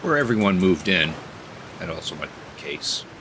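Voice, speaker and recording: A man's voice: low-pitched, very animated, brisk, noisy background. A man streaming a video game, musing aloud in casual, offhand commentary.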